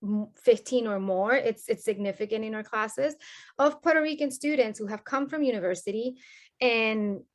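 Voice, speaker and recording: A woman's voice: a little high, normal speed. A woman from Colombia with a Colombian Spanish accent, a sociology professor answering interview questions in an earnest, explanatory way.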